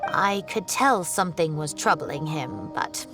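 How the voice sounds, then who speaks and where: A woman voicing a genteel, worried older female professor in a video game, with gently fretful exclamations. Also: low-pitched, lively intonation, a little slow, music in the background. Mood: concerned, recounting.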